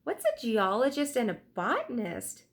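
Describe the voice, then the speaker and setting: A woman's voice: on the high side, wildly swooping pitch, normal speed, voice pushed up. A woman reading a children's storybook, voicing a confident, eager young girl adventurer in an upbeat, emphatic way. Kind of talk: children's storybook read aloud.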